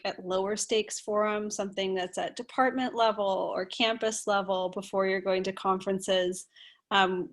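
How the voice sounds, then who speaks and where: A woman on a webinar panel, reflective and practical, speaking softly. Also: medium pitch. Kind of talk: casual panel answer.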